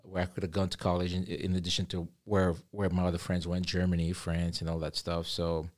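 Guest from Cameroon, man in his 30s, lowish voice, laid-back storyteller. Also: a little fast. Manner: relaxed, conversational, with many fillers. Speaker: podcast guest. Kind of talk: casual interview storytelling. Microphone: studio podcast mic.

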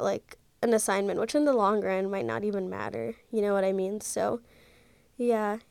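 A woman's voice: on the high side, creaky, dark tone, no echo. A young woman in her twenties with a stuffy, congested nose, talking casually and rambling on her solo podcast into a handheld mic, full of "like" and "um". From Ohio, USA. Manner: chatty, rambling, many fillers like "like" and "um". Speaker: podcast host.

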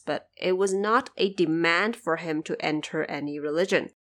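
A woman from China hosting an explanatory podcast, speaking in an informal, wry way. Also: lowish voice. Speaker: podcast host. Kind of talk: explanatory podcast commentary on folklore.

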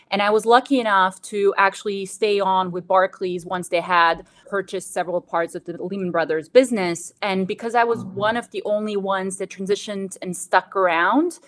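A woman in her thirties, originally from Bosnia, answering interview questions in a measured, reflective way. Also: medium pitch. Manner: measured, reflective, frequent "to be honest" and "actually". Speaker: guest.

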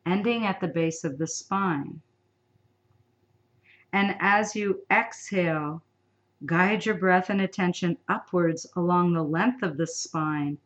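A woman leading a guided meditation in a soothing, unhurried manner, with long pauses between phrases. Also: on the low side, highs cut off.

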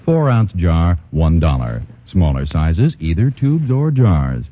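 Husky 1940s radio ad announcer. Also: male voice, sing-song, takes it slowly. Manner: smooth persuasive pitch. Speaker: commercial announcer. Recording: muffled old radio broadcast.

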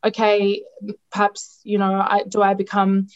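A woman's voice: medium pitch, completely flat delivery, unhurried. A woman who is a dietitian, her Greek, answering interview questions with many ums, restarts and hesitations. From Australia. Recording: dull lo-fi sound.

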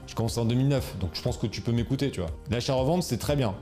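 Male coach, informal and slangy, mid pitch, faint music bed. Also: very fast, mellow. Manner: informal, slangy, many fillers and restarts. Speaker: e-commerce coach. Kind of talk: casual explainer video.

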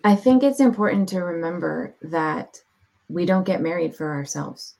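A woman speaking as a podcast guest, sharing her testimony in a reflective, heartfelt way with measured pauses. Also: on the low side, expressive, creaky, darkish tone. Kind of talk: personal testimony in an interview.

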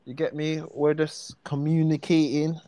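A man's voice: fairly high pitch, level tone, unhurried, mellow. A man co-hosting a live stream, chatty and laid-back, using street slang and laughing often. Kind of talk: casual live chat.

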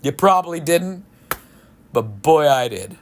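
A man's voice: on the high side, expressive, measured pace, slight background noise. A man in his forties chatting casually and cheerfully with his listeners, self-deprecating and upbeat. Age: 40s.

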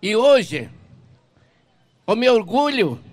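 A man's voice: high voice, big pitch swings, slow pace. Earnest older man in his seventies. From Mato Grosso do Sul, Brazil. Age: 70s. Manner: earnest, rambling, blessing-heavy. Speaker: herbal medicine vendor. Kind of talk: emotional street testimony.

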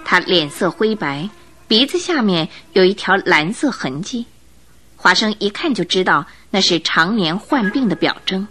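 A woman narrating a scripted radio drama in an expressive, dramatic storytelling manner. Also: medium pitch, normal speed.

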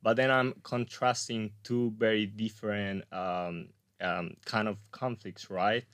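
A man's voice: fairly low pitch, fairly even intonation, a little slow, a bit bright, no room sound. A young man from Colombia, a graduate student guest, talking casually in an interview with many fillers and restarts. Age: young adult.

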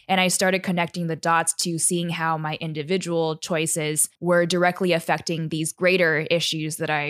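A woman's voice: lowish voice, a bit bright, no echo. Thoughtful woman guest, dry close sound. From Taiwan. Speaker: podcast guest. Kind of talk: interview conversation.

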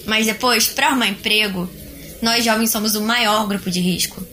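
Bright-voiced, outspoken young Brazilian flatmate played by a woman. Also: medium pitch, normal speed, slight background noise, lightly acted. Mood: wistful. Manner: colloquial, direct. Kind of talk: audio drama dialogue.

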